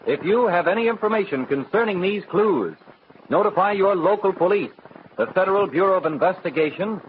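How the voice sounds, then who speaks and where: An American man announcing on 1940s radio in scripted narration, with emphatic, deliberate phrasing. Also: sits high, lively intonation. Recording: scratchy 1940s radio broadcast, narrow phone-like band.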